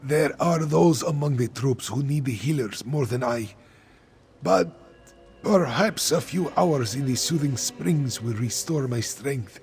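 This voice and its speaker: An actor playing a weary, grateful wounded soldier in a fantasy game, speaking in an earnest, measured way. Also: male voice, medium pitch, gravelly, a little mellow, soft background music.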